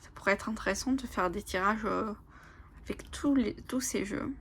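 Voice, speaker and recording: A woman whose first language is Italian, casually presenting oracle cards, reading their lines aloud slowly and whispering in awe, with many fillers. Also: medium pitch, slight background noise. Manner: soft, often whispering in awe, hesitant with fillers. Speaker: oracle card channel host.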